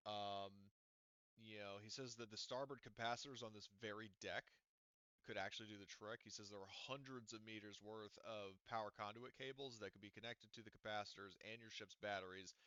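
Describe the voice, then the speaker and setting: A man's voice: lowish voice, a little fast, bright, forceful tone, smooth, no echo. A man running a tabletop game as game master, talking casually with lots of fillers and swearing. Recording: dull lo-fi audio.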